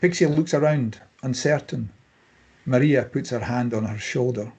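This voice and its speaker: A man with a husky voice reading scene headings and stage directions as scripted narration at a table read. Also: medium pitch, normal speed, a little mellow.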